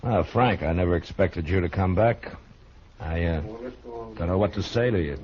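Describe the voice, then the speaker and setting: An actor playing a stern, wary frontier US marshal in a dry, laconic 1950s radio western style. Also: male voice, sits low, normal speed, husky. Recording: muffled old radio recording.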